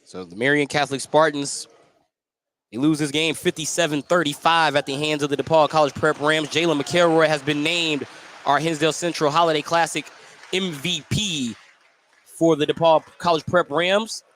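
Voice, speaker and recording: A man's voice: on the high side, steady tone, moderate pace, a bit bright. A man hosting a live sports radio broadcast as a play-by-play announcer from a gym. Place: indoor school gymnasium. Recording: some background noise of a gymnasium.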